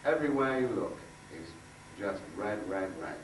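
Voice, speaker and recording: A man giving an informal workshop talk, halting and conversational, repeating phrases for emphasis. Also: fairly low pitch, expressive. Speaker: workshop speaker and aura healer. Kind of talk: informal talk with demonstration and guided visualisation. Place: indoor room before a group. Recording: echoey room, distant mic, faint noise.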